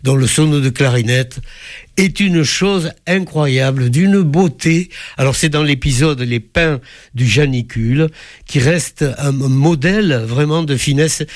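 A man's voice: a little high, measured pace, dry recording, clean studio sound. A man giving an enthusiastic spoken concert review as a music critic, effusive and fond of literary turns of phrase.

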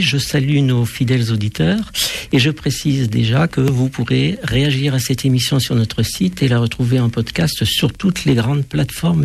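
A male radio host with a gravelly, slightly raspy voice at a middle pitch. He introduces his guest and asks formal, measured questions, padding them with hesitant fillers. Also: a little mellow. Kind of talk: radio interview.

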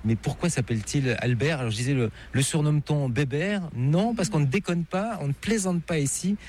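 A man's voice: fairly high pitch, a little fast. Playful male travel radio host. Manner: lively, playful, guiding the conversation. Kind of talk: travel radio reportage and interview. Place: outdoors in a national park.

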